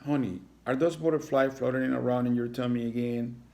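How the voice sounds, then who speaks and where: A man's voice: mid-range voice, steady tone, fairly quick, creaky, warm tone, pitched above the performer's own voice, lightly acted. A man voicing a caring iguana mother in a storybook, coaxing and reassuring her child. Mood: comforting.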